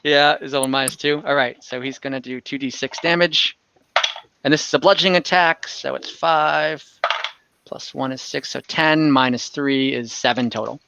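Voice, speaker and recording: A man's voice: mid-range voice, normal speed, gravelly, dull audio, background noise. A man casually running an online tabletop fantasy game as game master, in a conversational way. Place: online voice call.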